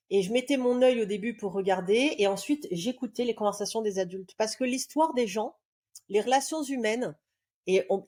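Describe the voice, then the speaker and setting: A woman's voice: mid-range voice, dark tone. A woman in her forties from southern France, a love coach guest on a podcast, telling her story in a reflective, earnest way with frequent hesitations. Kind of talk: podcast interview, personal storytelling.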